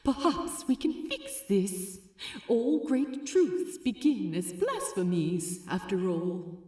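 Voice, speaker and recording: A woman voicing a gentle, anxious male angel in a fan fiction audiobook, speaking earnestly and tenderly. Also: mid-range voice, big pitch swings, takes it slowly, echoey room. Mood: anxious, consoling.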